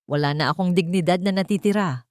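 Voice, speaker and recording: A woman's voice: lowish voice, fast, a little mellow, dry recording, studio quality, lightly acted. A woman voicing a desperate, weeping young pregnant heiress in an audiobook drama, pleading in anguish.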